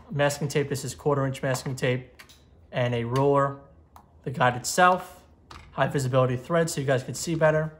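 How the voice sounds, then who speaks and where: A man in his twenties giving a step-by-step tutorial at an indoor workbench, recorded on a lapel mic. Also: mid-range voice. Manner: steady step-by-step instruction. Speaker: magazine editor giving a tutorial. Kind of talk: how-to tutorial.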